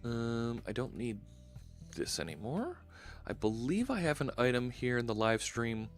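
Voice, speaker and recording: A man's voice: medium pitch, big pitch swings, unhurried. Philly guy in his 40s on a headset, rambling casual stream chat, faint music under him. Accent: Philadelphia. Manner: chatty, thinks aloud with many fillers. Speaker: live-stream host. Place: home studio, indoors.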